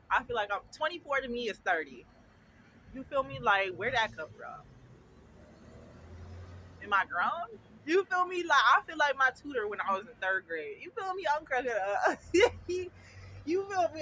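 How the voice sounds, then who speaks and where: Young woman in her twenties, vlogger, chatty and giggly, very expressive pitch, loud city traffic behind. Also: poor audio. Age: 20s. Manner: chatty, keeps asking "you feel me", breaks into laughter. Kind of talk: casual chat to camera. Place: outdoors at a bus stop. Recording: outdoor street traffic noise.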